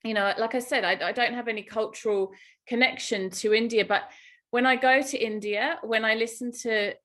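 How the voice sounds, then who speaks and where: A woman's voice: on the high side, fairly even intonation, normal speed, fairly bright tone. A middle-aged woman with an English accent, telling personal stories as a guest in a conversational podcast interview. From England. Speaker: guest, yoga teacher and Ayurveda guide.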